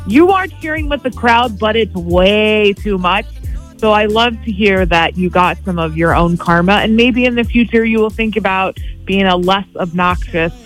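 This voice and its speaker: A woman's voice: medium pitch, faint music behind, low-quality recording. A young woman acting as the show's sports correspondent, teasing the host and recapping games with lots of "um"s. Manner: teasing, quick recaps with many fillers. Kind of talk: casual sports chat, teasing.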